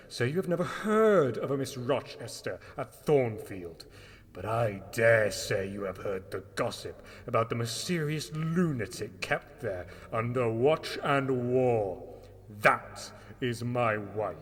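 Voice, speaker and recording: A man playing a bitter, defiant English gentleman in a period audio drama, sardonic and commanding in manner. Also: medium pitch, slightly rough, full character voice. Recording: long hall reverb, music underneath.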